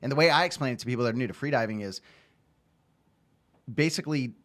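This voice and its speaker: A man's voice: mid-range voice, quick pace, a bit bright. A man co-hosting a podcast in a casual, conversational style. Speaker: podcast co-host, military veteran. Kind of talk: casual chat.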